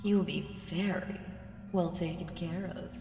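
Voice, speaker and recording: A woman voicing an ancient evil entity in an ASMR audio roleplay, smug, seductive and condescending, with a teasing delivery that slips into breathy whispers. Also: fairly low pitch, fairly even intonation, measured pace, background noise. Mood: smug reassurance. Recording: echoey hall reverb, distant, music behind.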